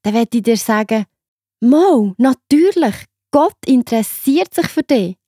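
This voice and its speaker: A woman's voice: a little high, lively intonation, unhurried, dark tone, husky, no room sound, studio quality. A woman with a Swiss German accent narrating a scripted children's Bible story, reassuring and speaking straight to the young listener.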